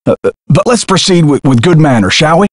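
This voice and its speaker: A man's voice: on the high side, expressive, brisk, no room sound, clean studio sound. An actor voicing a flustered, hesitant male press conference moderator who stammers, in a video game. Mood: nervous.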